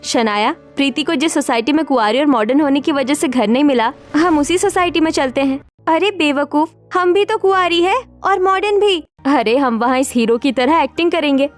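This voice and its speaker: A woman playing a spirited, scheming young single woman in a story drama, with an expressive manner. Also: sits high, natural voice. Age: young adult. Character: spirited, scheming young modern single women seeking housing. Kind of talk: acted Hindi story dialogue.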